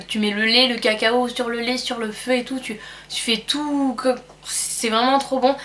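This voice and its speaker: A woman's voice: a little high, a bit bright. A young French woman with a cold chatting at home as a beauty vlogger, rambling and hesitant with lots of fillers. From France. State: has a cold. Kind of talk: casual chat answering a tag.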